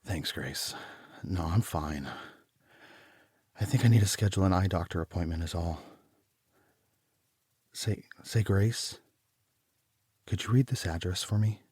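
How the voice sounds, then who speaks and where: An actor playing a troubled homicide detective, narrating his case in a deliberate, brooding, literary style for an audio drama. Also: low-pitched, darkish tone, husky, no echo, studio quality. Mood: flustered, evasive. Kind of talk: scripted first-person noir audio drama narration.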